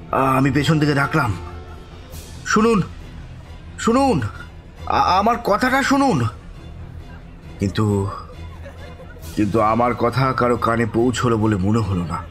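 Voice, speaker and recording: Male actor bewildered ghost-story narrator, medium pitch, halting dramatic delivery, frightened, suspenseful, background music. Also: natural voice. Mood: desperate, pleading, then tense, suspenseful. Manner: halting, breathy repetitions, suspenseful, pleading in dialogue. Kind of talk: dramatic first-person horror audio story narration.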